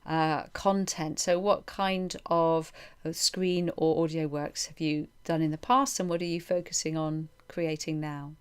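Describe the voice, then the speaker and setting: A woman's voice: deep voice, fairly even intonation, a little slow, clean tone. A woman interviewer talking in a conversational, chatty way, often rephrasing her questions as she goes. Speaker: interviewer, host.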